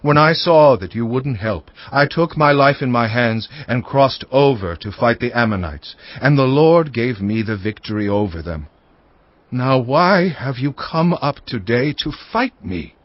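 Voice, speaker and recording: An actor playing a proud, aggrieved Israelite war leader in a dramatized audio Bible, declaiming emphatically. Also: male voice, mid-range voice, animated, measured pace, no echo, lo-fi sound, natural voice. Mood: aggrieved, defiant.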